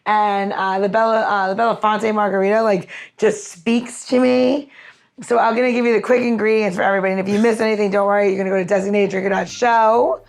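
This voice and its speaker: A woman's voice: mid-range voice, fairly even intonation, fast, vocal fry. A woman co-hosting a podcast in a casual, chatty, playful way, walking through things like a bartender. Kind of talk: casual chat with recipe walk-through.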